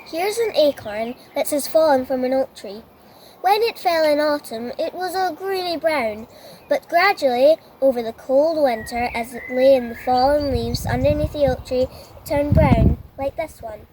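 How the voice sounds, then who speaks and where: A woman's voice: sits high, animated, moderate pace, darkish tone, a little hiss or hum, low-quality recording. A woman nature educator giving a simple, step-by-step explanation for children.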